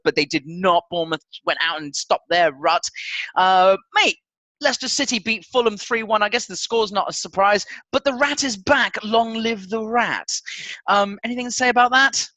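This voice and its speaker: High-pitched, bright-voiced man chatting casually. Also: dry recording, rough recording. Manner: chuckles often, blokey and sweary. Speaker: football podcast host. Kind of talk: casual banter and match chat.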